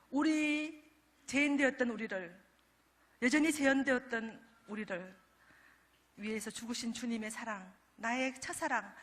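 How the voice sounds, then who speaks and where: Middle-aged woman w gyeongsang accent, pastor's wife joking through a sermon, high voice, big echoey church. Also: bright, forceful tone. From Daegu, South Korea. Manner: chatty and joking, teasing the audience with asides. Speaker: pastor's wife speaking at a prayer meeting. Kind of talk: humorous testimony sermon. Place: large church sanctuary. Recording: long reverb of a large church hall.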